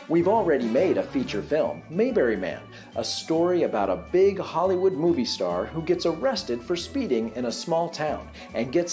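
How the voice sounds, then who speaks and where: A man's voice: a little high, a little mellow. A man delivering scripted promotional narration as a campaign presenter. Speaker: campaign presenter and film maker.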